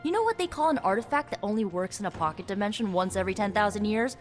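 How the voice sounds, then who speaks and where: A woman's voice: mid-range voice, expressive, fast, natural voice. A woman playing a young sardonic sorceress in a video game, with an American accent and a casual, teasing, talkative manner. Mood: playful. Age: young adult. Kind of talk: video game voice acting, conversational. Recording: background music under the dialogue.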